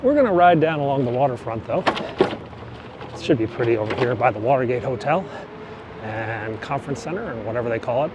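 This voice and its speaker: A man's voice: mid-range voice, animated, fairly quick. Man, casual vlog narration, rambling, self-correcting, outdoor traffic noise in the background. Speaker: vlogger. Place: outdoors on a city bike ride. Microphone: action camera. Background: traffic and city ambience.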